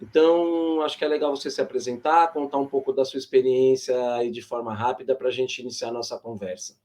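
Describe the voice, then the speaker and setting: A man with a Brazilian accent moderating an informal online panel, explaining things in a measured way with thoughtful pauses. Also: mid-range voice, fairly even intonation, a little mellow. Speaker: host and moderator.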